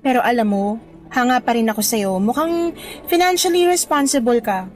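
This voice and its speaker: A woman playing an upbeat young new high school teacher in a radio drama, friendly and expressive. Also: normal speed, close to an everyday voice. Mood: friendly, curious. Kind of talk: Tagalog radio drama acting.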